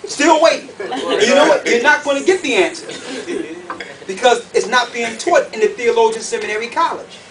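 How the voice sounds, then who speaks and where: A man preaching to a group in an animated, colloquial way, emphatic and full of rhetorical questions. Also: very high voice, normal speed, far from the mic, crowd noise. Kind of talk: animated sermon with questions to the audience. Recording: noisy room, picked up from afar.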